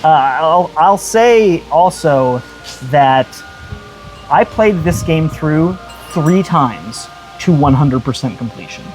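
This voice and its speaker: A man's voice: fairly high pitch, expressive, slow, soft background music, rough recording. A man co-hosting a game review show, speaking conversationally in an earnest, emphatic way.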